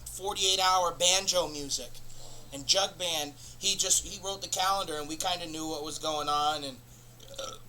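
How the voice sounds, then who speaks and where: A man hosting a comedy show, rambling through an absurd, profane monologue in a deadpan way. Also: a little high, a little hiss or hum, poor audio. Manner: rambling, profane, deadpan nonsense with sudden bursts of laughter.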